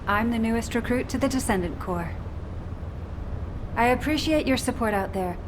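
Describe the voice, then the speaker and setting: A woman's voice: a little high, expressive, normal speed, lightly acted. A woman playing an earnest, polite young female recruit soldier in a video game. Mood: respectful. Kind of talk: video game character dialogue. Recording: loud vehicle and ambient noise behind.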